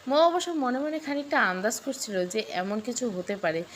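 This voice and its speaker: A woman's voice: fairly high pitch, animated, moderate pace, a little hiss or hum. A woman reading a scripted story with dramatic delivery. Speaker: story narrator.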